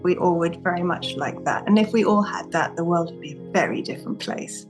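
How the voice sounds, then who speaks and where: A woman in her fifties, raised in Singapore and Indonesia, talking gently and reflectively as a podcast guest, often restarting her sentences. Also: lowish voice, a little fast, over music. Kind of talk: casual interview talk.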